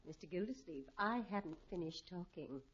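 A woman's voice: on the low side, lively intonation, normal speed, lightly acted. A woman playing a bossy, genteel, meddling older mother-in-law in a 1950s radio comedy, insistent and primly polite. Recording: muffled old radio recording.

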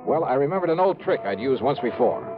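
An actor with an American accent playing a brisk, shrewd insurance investigator in 1950s radio drama, punchy and urgent. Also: male voice, mid-range voice, very animated, natural voice. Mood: brisk narration. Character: brisk, shrewd male insurance investigator, take-charge and hard-boiled. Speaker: lead actor and narrator. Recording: dull vintage radio recording, faint music.

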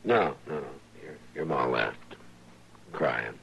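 An actor playing a patient, authoritative frontier marshal in a radio western, speaking plainly and in a measured way with an American accent. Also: male voice, rumbling low, creaky, a bit bright, slightly rough, exaggerated voice. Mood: gentle, fatherly. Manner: measured, fatherly, plain-spoken. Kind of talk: old-time radio western acting.

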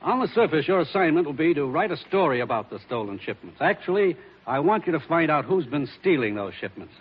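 An actor playing a dry, authoritative intelligence commissioner, clipped and matter-of-fact, in 1940s radio acting. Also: male voice, on the high side, big pitch swings, a little fast, breathy edge. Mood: grave, businesslike. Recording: dull hissy 1940s radio recording.

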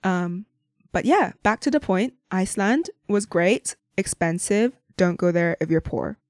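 A young woman from Hong Kong chats her way through an unplanned solo podcast episode. She rambles loosely, leaning on fillers and breaking into small laughs, at an ordinary middle pitch. The close mic sounds dry, with almost no room around her. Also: a little slow, darkish tone, no echo. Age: young adult. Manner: rambling, chatty, frequent "like" and "you know", laughs often. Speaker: podcast host. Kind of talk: unscripted casual chat.

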